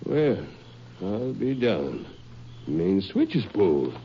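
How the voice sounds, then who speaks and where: An actor playing a stubborn, folksy older storekeeper in a small town, in 1950s radio drama style. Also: male voice, lowish voice, big pitch swings, slow. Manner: folksy, plainspoken. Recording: dull old radio recording, faint hiss.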